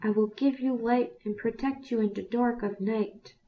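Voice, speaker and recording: A woman voicing a dying male fire spirit in a storybook tale, pleading and hushed. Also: on the high side, steady tone, moderate pace, slight background noise, voice pushed up, natural voice. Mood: promising. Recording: distant, dull lo-fi recording.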